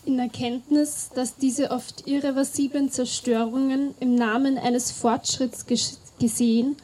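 Woman, high mellow voice, earnest formal reading. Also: level tone, a little slow, breathy edge, rough recording. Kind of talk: reading a declaration aloud.

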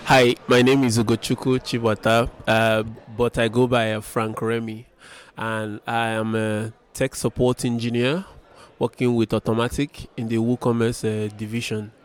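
A young man from Nigeria, a tech support engineer answering interview questions with many pauses and restarts. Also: mid-range voice, flat delivery, clear, resonant tone. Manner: hesitant, many fillers and restarts. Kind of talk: event interview.

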